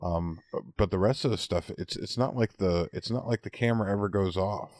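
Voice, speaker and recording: A man in his forties from the United States, chatting casually on a podcast and telling anecdotes in a halting, word-repeating way. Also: sits low, darkish tone, no room sound, clean studio sound. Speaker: podcast co-host.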